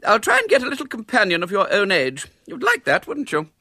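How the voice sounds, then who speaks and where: A man voicing a prim, fussy spinster lady in a storybook reading, with a high, fluttering and hesitant manner. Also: wildly swooping pitch, fairly quick, gravelly, sharp tone, no echo, clean studio sound, full character voice.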